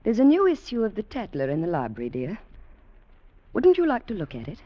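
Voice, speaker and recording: A woman's voice: medium pitch, huge pitch swings, a little fast, dry recording. An actress playing a firm, evasive upper-class mother in a 1940s radio drama. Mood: coaxing, firm. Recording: muffled old radio broadcast.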